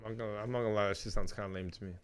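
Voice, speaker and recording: A man's voice: on the low side, fairly even intonation, crowd noise. A man co-hosting a podcast in casual chat, pressing with insistent questions. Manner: rapid-fire, insistent questioning.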